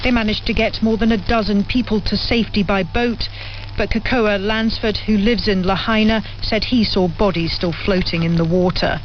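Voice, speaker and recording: A woman's voice: mid-range voice, fairly even intonation, moderate pace. Woman correspondent on a muffled radio relay. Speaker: news correspondent. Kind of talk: scripted news report. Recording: muffled, lightly noisy shortwave radio relay.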